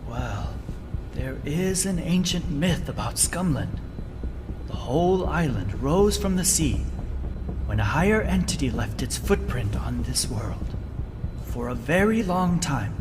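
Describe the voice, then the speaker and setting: An earnest strategist from a video game cutscene. His voice sits a little high and moves expressively, with measured, deliberate phrasing. It rings in a long reverb over clear background noise. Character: earnest man, wounded team strategist recounting island legends.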